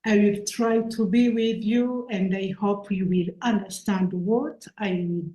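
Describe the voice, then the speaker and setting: A woman's voice: medium pitch, steady tone, measured pace, mellow. A Rwandan woman whose first language is Kinyarwanda, speaking earnestly at an event and searching for her English words as an invited speaker. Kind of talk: halting public talk. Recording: echoey room.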